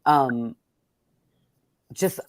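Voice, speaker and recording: A woman's voice: a bass voice, very slow, no room sound. A woman speaking as a poet and host, casually and candidly introducing a poetry reading, salty-tongued and thoughtful as she searches for words.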